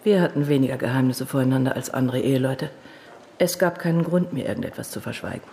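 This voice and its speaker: A weary, widowed defence lawyer in a radio play. Her low voice has a creaky edge, and she speaks tersely and defiantly over faint noise. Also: animated, fast talker, mellow, full character voice. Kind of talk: radio drama acting.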